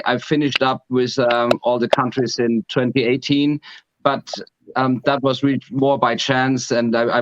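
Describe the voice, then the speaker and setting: Middle-aged German man, steady earnest panelist, muffled dead-dry call sound. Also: mid-range voice, dry recording. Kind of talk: panel guest answer.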